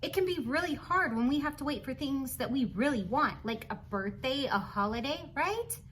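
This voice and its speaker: Woman, high-pitched, bright tone, children's read-aloud, expressive, encouraging, teacherly asides, faint background noise. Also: moderate pace. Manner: teacherly, encouraging, expressive, asks the listener questions. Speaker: storybook reader for children.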